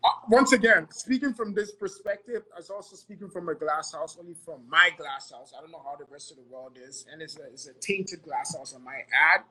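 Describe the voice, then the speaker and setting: A man's voice: fairly high pitch, brisk, hardly any pauses, sharp tone, poor audio. A man from Ghana giving earnest, motivational money advice in a casual podcast, often repeating himself and checking in with "right?".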